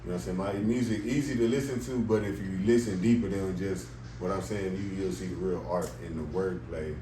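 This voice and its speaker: A young man rapper, the guest, talking in a casual, slangy, laid-back way with a deep voice and lots of "you know what I'm saying.". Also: fairly even intonation, brisk, dark tone. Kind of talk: casual interview chat. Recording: echoey room, distant mic, background noise.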